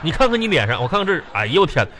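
A man's voice: fairly high pitch, very animated, rapid-fire, heavy background noise. A man playing a fussy, exasperated but doting father with a Northeastern Chinese accent, stammering and nagging in a comedy radio skit. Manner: stammering repetitions, nagging rhetorical questions. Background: music.

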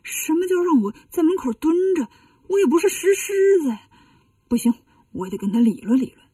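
A woman's voice: a little high, fast talker, warm tone, slightly rough, no echo, far from the mic. A woman playing a meek, aggrieved male pilgrim monk with a plaintive, sulky manner in a storybook tale. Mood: indignant, aggrieved.

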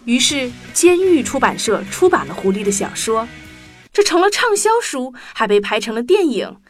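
High, very animated woman storyteller. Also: normal speed. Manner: expressive, addresses young listeners. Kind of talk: animated children's story narration. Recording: music bed behind the voice.

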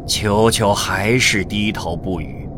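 A man's voice: on the low side, slow. A man narrating a scripted radio drama as a storyteller. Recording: clearly noisy with ambient vehicle sound.

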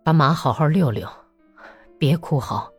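A woman voicing a terse, grieving male Cossack officer, dropping her voice low and subdued. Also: animated, unhurried, slightly rough, no echo, soft background music, full character voice. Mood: curt, grieving. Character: grieving curt Cossack officer, middle-aged man.

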